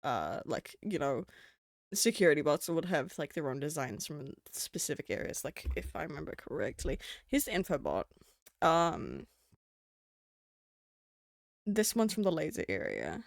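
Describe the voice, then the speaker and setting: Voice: mid-range voice, big pitch swings, a little slow, vocal fry, pauses often, dry recording, studio quality. A streamer with a New Zealand accent, chatting casually on a live stream, rambling and swearing. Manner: rambling and swearing, with frequent laughs.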